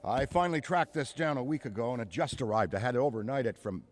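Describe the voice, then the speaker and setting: A man's voice: medium pitch, quick pace, slight background noise, close to an everyday voice. A middle-aged man playing a nostalgic, effusive, bookish veteran ghost hunter in a game cutscene, talking in a wordy, theatrical way. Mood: excited. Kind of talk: video game cutscene monologue.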